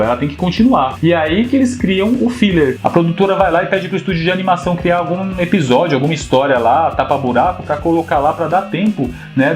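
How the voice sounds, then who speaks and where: A young man from Brazil talks quickly and casually in an explainer vlog, often tossing in little asides. His voice sits a bit high for a man. Faint music plays softly behind him. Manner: chatty, quick, lots of "né" asides. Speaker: YouTuber.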